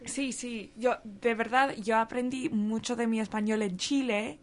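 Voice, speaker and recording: A woman chatting in a relaxed group conversation, unhurried and lightly humorous. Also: fairly high pitch. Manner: slow, with playful put-on quotes. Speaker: panelist. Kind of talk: casual panel chat.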